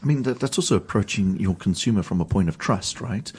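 A man's voice: on the low side, expressive, brisk, a little mellow, husky. A man co-hosting a podcast panel chat, teasing his co-hosts. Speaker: podcast co-host.

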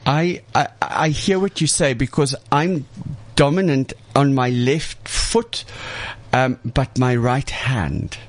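A man's voice: medium pitch, takes it slowly. A man hosting a conversational interview, asking questions hesitantly with frequent restarts. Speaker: interview host.

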